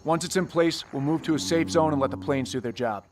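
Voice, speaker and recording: Actor as a terse military commander, distant and noisy. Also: male voice, on the high side, brisk, natural voice. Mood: resolute. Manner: terse, authoritative, giving orders. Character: stern, decisive male military squad commander. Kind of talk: video game cutscene acting.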